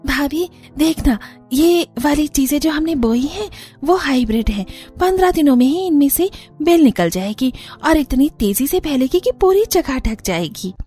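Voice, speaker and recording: Eager, high-voiced young village daughter-in-law acted by a woman. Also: animated, moderate pace, mellow, soft background music. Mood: delighted. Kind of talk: animated Hindi cartoon story acting.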